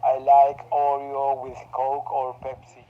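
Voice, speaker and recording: A man speaking slowly and haltingly, in a flat and mellow voice. He sounds like a language learner in a short sample clip. The recording is dull and lo-fi, with a faint hiss behind him. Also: medium pitch. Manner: halting, hesitant, slow.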